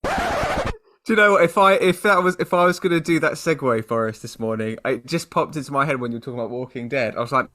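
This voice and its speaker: A man's voice: fairly high pitch, huge pitch swings, quick pace, music in the background. A British man co-hosting a podcast, chatting casually in a rambling, self-deprecating way. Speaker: podcast co-host. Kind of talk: casual chat.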